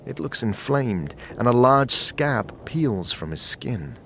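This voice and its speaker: A man narrating a dramatic second-person story in a measured, atmospheric manner. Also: medium pitch, big pitch swings, creaky, a bit bright, slight background noise. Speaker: narrator and lead player.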